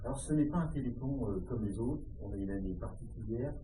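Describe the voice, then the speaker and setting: A man's voice: mid-range voice, animated, a little fast, mellow. A French man giving a formal public address to residents as a local official. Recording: distant, muffled, echoey room with faint noise.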